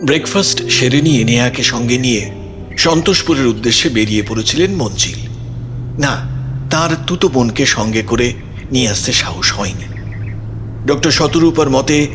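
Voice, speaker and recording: A man's voice: mid-range voice, a bit bright. A man reading scripted narration for a suspense audio story as its narrator. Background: background music.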